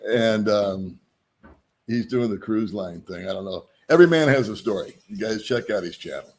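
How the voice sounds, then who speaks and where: A man's voice: fairly low pitch, lively intonation, moderate pace, a little mellow. A middle-aged man hosting a casual live stream, joking at his own expense and greeting viewers by name.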